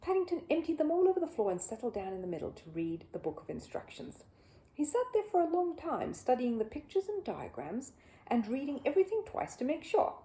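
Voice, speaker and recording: A woman storyteller with a soft, mellow tone reads from a children's book. Her pitch moves about in a very lively way, giving the narration plenty of expression. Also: fairly quick, low-quality recording.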